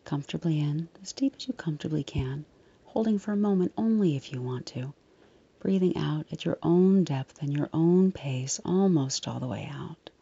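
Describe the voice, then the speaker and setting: A woman leading a guided meditation in a soft, breathy, often near-whispered voice, soothing, unhurried and gently reassuring. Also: low-pitched, animated, muffled recording.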